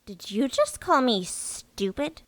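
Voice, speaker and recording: A woman's voice: high-pitched, wildly swooping pitch, slow, no room sound, studio quality, exaggerated voice. A woman acting in an audio drama as an earnest, insistent, easily offended female mole worker. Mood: indignant. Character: earnest, gullible, indignant young female moleperson worker.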